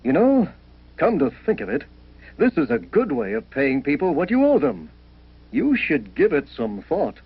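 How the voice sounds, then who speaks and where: A helpful, friendly cartoon fisherman. He has a raspy, fairly high voice with a lively lilt. The sound is dull, from an aged film print. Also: normal speed, heavily acted. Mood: friendly, appreciative.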